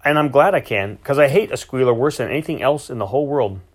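A man reading aloud, voicing a spirited ranch girl with a fiery temper in a storybook, his pitch raised well above his own. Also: lively intonation, fast, natural voice. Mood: feisty.

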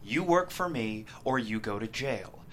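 Guy doing a cruel cocky dealer at a table read, taunting, really animated, noisy room. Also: mid-range voice, measured pace. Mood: cold threat. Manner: taunting, slangy street talk. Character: menacing young male drug dealer, cocky and playfully cruel. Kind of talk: dramatic table read of a teen drama script.

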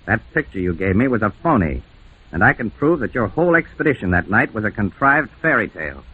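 A man's voice: on the low side, big pitch swings, brisk, background noise. A man playing a shrewd, blunt police lieutenant in an old-time radio mystery, with probing, emphatic delivery on a muffled vintage recording. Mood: accusing.

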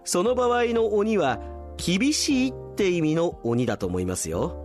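A man's voice: high voice, very animated, unhurried, a little mellow, soft background music. A man voicing an easygoing devil bartender who talks casually and knowingly in a comic radio drama. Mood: relaxed advising.

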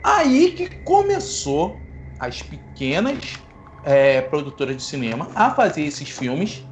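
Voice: mid-range voice, slight background noise. A host, explaining film history in a casual, playful way to the audience. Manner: playful, self-mocking asides.

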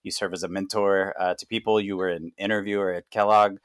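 Deep-voiced man hosting a podcast interview. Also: little pitch movement, clean tone, no room sound, clean studio sound. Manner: frequent "uh" fillers, measured. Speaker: podcast host, founder of consulting firm. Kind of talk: casual interview.

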